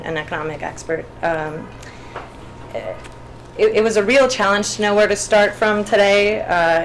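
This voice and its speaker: A woman's voice: fairly low pitch, rough recording. Hesitant Puerto Rican woman on a panel. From Puerto Rico. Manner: hesitant, many restarts and fillers. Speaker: panel speaker, artist. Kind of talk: spoken panel talk. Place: event room. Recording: faint background noise.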